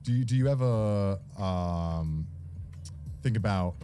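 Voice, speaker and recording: Male chatter, low and mellow, stop-start hesitant delivery. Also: slow, a little hiss or hum. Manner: hesitant, restarts sentences. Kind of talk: casual chat.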